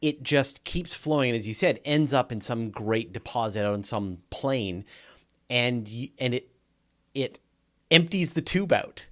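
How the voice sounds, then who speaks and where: A man's voice: mid-range voice, measured pace. A man with a Canadian accent, co-hosting a science podcast in an enthusiastic, casual chat. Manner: enthusiastic, animated. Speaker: podcast co-host.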